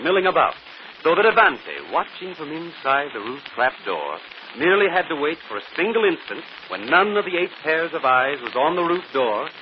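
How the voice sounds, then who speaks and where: A man playing a brilliant, self-assured detective in 1940s radio drama style, articulate and theatrical. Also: fairly high pitch. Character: brilliant, self-assured male detective explaining his solution. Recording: muffled, noisy old radio broadcast.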